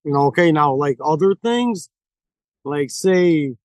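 A man's voice: fairly high pitch, lively intonation, measured pace, darkish tone, no echo. A man, a paranormal investigator, telling rambling stories in casual chat, full of swearing and acted-out quotes. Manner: profane, rambling, acts out quotes.

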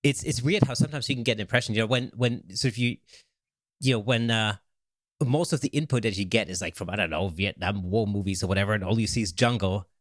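Low-voiced British host, dry sound. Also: male voice, quick pace, dry recording. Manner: easygoing, rambling questions with many fillers. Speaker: podcast host. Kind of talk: casual interview chat.

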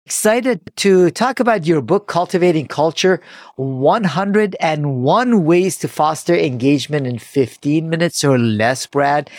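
A male podcast host with a fairly high voice introduces his guest with polished enthusiasm. His questions come across smooth and practiced. The recording is dry and close. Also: darkish tone, no room sound. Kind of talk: podcast interview hosting.

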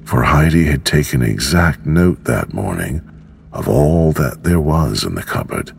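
A man with an extremely low, gravelly voice narrates a bedtime story. He reads gently and very slowly, with lively rises and falls in pitch. Music plays behind him. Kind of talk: slow scripted storybook narration for sleep.

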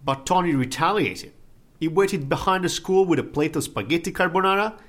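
Italian gangster sidekick, mournful, earnest, literal-minded, slightly high pitch, audio drama. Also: male voice, a little fast, a bit bright. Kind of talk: comic audio drama acting.

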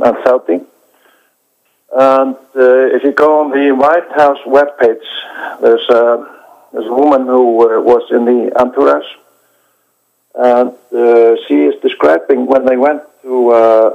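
A man from Iceland, a documentary director answering interview questions in a halting way, with many hesitations and restarts. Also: medium pitch, creaky. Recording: dull lo-fi recording.

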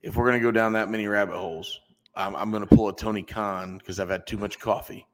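A man's voice: lowish voice, fairly even intonation, fast, a bit bright, long reverb tail. A man co-hosting a wrestling review podcast in a casual, joking way. He makes folksy asides and often stops and restarts his sentences. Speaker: podcast co-host.

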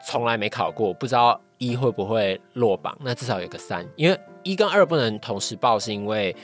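A man's voice: medium pitch, animated, a little fast, faint music behind. A young man with a Taiwanese Mandarin accent chatting casually as a podcast guest, recounting experiences a little hesitantly with repeated phrases. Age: young adult.

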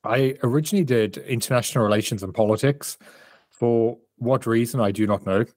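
A man's voice: medium pitch, a little fast, darkish tone, no room sound, clean studio sound. A man in his thirties from South Africa, a performance coach and author answering interview questions in a candid, reflective way over a remote call.

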